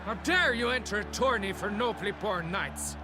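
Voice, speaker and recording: A man's voice: high-pitched, expressive, moderate pace, over music. A man playing a haughty, indignant prince in a fantasy video game, speaking formally. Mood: offended outrage.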